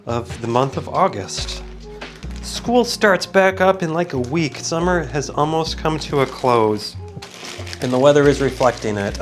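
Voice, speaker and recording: A man's voice: mid-range voice, a bit bright. A man with a slightly congested, groggy voice, hosting a casual, easygoing product review that often wanders off on tangents. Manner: easygoing, digressive, self-interrupting. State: groggy from waking up, with sinus congestion. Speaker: product reviewer and video host. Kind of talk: casual, rambling unboxing and review chat.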